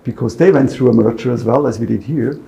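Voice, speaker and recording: A man's voice: medium pitch, quick pace, gravelly, mellow. A middle-aged man, chatting as a former executive in an interview. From Switzerland. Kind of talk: casual conversational interview.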